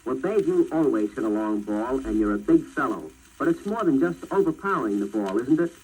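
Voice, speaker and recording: A man's voice: medium pitch, very animated, brisk, darkish tone, husky. An American man interviewing a guest in 1940s radio style, heard on a crackly old disc recording.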